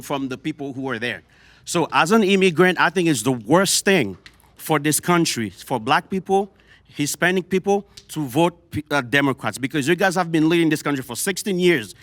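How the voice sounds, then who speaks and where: A man with a Haitian accent argues his point with force, often stumbling over and repeating words as he pushes on. He speaks at a middling pitch in a dry, close-sounding room. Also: fairly bright tone, no room sound. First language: Haitian Creole. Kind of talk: heated debate.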